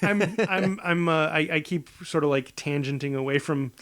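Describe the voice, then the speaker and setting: A man with a moderately high-pitched voice banters casually as a podcast co-host. He explains things in a halting way, breaking off and restarting his thoughts. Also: fairly bright tone. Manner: halting, self-interrupting explanations.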